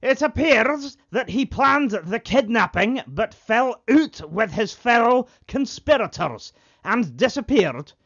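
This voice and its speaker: A man's voice: extremely high pitch, sing-song, takes it slowly, fairly bright tone, husky, exaggerated voice. Male actor as policeman, keen and solemn, spoof vintage radio acting, muffled old-wireless sound. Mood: earnest, solemn. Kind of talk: parody of 1950s radio drama acting.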